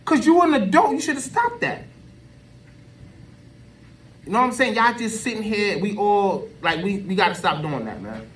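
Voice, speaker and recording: A high-pitched American man delivers off-the-cuff commentary for social media, heard at some distance from the microphone. He talks in an emphatic, colloquial way and often repeats himself to drive a point home. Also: very animated, normal speed, long pauses, a bit bright, slight background noise. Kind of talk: unscripted opinion rant to camera. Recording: voice distant from the mic.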